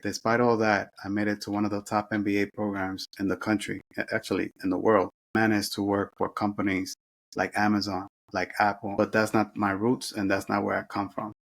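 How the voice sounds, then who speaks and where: Middle-aged man from the Dominican Republic; low, monotone, earnest interview storyteller. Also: brisk, creaky. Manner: earnest, with frequent restarts and self-corrections. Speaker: guest sharing life story.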